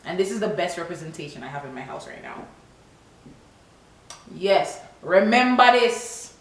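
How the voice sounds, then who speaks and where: A woman's voice: on the low side, lively intonation, normal speed. A young woman from Toronto with a Canadian accent that slides into Jamaican Patois, chatting casually and animatedly to camera as a vlogger. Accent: Toronto English with Jamaican Patois. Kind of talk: casual chat to camera, answering tag questions. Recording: very echoey room with background hiss.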